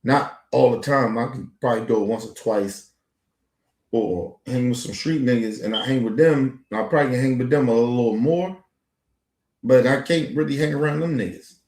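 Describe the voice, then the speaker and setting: A man's voice: mid-range voice, normal speed, darkish tone, reverberant. A man in his forties talking in a casual, rambling monologue as a podcast host, heavy on street slang and profanity. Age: 40s.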